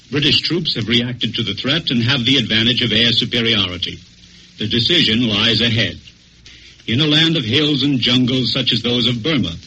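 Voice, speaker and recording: A man's voice: mid-range voice, pushed and bright. An American man, a military officer, reading a formal scripted war review in 1940s radio news style. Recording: faintly noisy 1940s radio broadcast.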